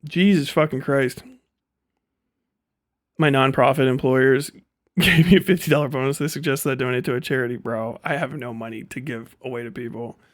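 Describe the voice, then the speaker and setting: A man's voice: medium pitch, fairly even intonation, normal speed. A man giving off-the-cuff livestream commentary in a blunt, profane, sardonic way. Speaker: live streamer.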